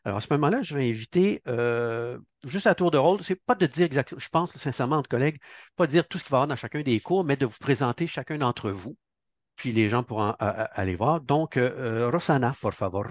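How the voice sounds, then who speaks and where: A man's voice: mid-range voice, expressive, fast talker, dry recording. A man hosting a program launch on a video call, chatty, with many fillers and an occasional Spanish greeting. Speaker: event host.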